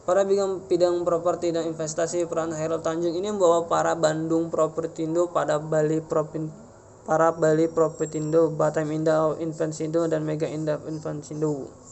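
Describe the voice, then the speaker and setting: A young man, a student reading a prepared presentation aloud in a halting way, stumbling over and repeating words. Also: on the high side, monotone, vocal fry, a little mellow, slight background noise. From Indonesia. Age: young adult. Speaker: university student giving a presentation. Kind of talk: scripted narration read aloud. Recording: music playing behind the voice.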